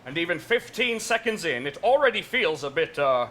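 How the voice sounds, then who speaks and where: An actor playing a weary, skeptical starship captain, tactful but exasperated, in a live comedy stage reading. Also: male voice, fairly high pitch, big pitch swings, moderate pace, fairly bright tone. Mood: weary, reluctant. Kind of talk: musical comedy stage acting.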